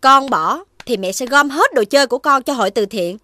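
A woman voicing a caring but firm mother in a children's cartoon, expressive and motherly, with music behind her. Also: high-pitched, a little fast, dry recording. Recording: music under the voice.

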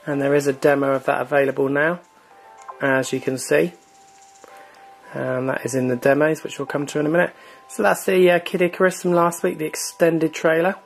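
A man's voice: a little high, fairly quick, gravelly, soft background music. A man hosting a gaming channel, chatting casually with many fillers as he walks through a console's online store. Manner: relaxed, filler-heavy, chatty. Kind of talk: casual screen walkthrough.

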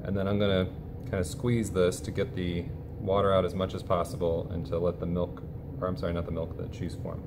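Deep-voiced man explaining a recipe. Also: brisk. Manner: relaxed, explanatory, with fillers like "kinda". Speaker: teacher demonstrating a recipe. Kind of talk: casual cooking demonstration. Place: lab bench indoors. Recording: steady background noise.

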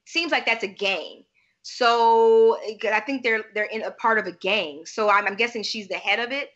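A woman's voice: fairly high pitch, normal speed, fairly bright tone. A woman in her thirties co-hosting a casual recap discussion from home over a video call. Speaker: podcast co-host. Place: plain room at home, indoors. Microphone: video call, webcam framing. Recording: slightly dull video-call audio.

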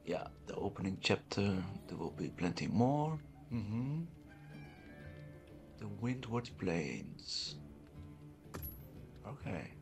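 A man's voice: on the low side, sing-song, takes it slowly, pauses often, over music. A man hosting a gaming video, reacting casually in a laid-back, slangy way.

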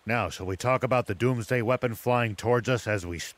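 A man voicing an older, steady war veteran in a video game, measured and resolute. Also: medium pitch, natural voice. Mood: calm, serious.

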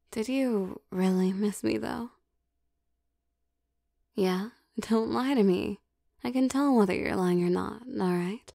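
Woman playing a soft, creaky-voiced vampire girlfriend. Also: mid-range voice, no room sound, studio quality. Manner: breathy coaxing, playful, hesitant pauses. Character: affectionate, possessive, teasing young vampire girlfriend. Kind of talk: ASMR girlfriend roleplay, intimate and soft.

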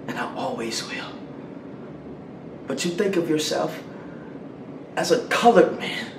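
A man performing a dramatic monologue as a defiant grown son confronting his overbearing father, emphatic and emotional, with deliberate pauses. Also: medium pitch, lightly acted. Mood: tender, resolute. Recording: roomy, distant mic, loud background noise.

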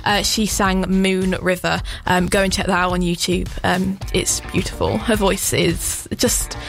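A woman's voice: fairly low pitch, steady tone, unhurried, creaky. A woman radio presenter talking directly to listeners between songs in a chatty way. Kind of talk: scripted radio links. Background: faint music bed.

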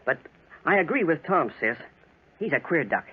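Folksy young country brother, highish voice. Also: male voice, big pitch swings, moderate pace, husky, full character voice. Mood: wary. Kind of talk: 1940s radio drama acting. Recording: muffled 1940s radio recording.

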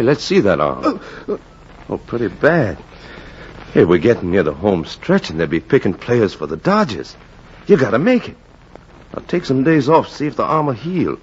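Steady old-radio baseball coach, low husky voice, kinda muffled. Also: male voice, full character voice. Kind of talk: 1940s radio acting. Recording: muffled 1940s radio recording.

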